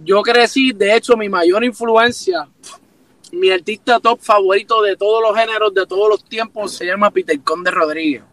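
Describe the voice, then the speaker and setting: A man's voice: high-pitched, normal speed, no echo, low-quality recording. A young man with a Puerto Rican Spanish accent and a thick voice, a guest chatting animatedly. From Puerto Rico. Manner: animated, sings snippets. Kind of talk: casual chat. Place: inside a van.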